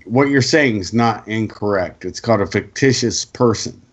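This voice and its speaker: A male co-host giving opinionated casual talk, explaining emphatically with halting pauses over a lo-fi connection. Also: fairly low pitch. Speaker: co-host putting questions to the guest.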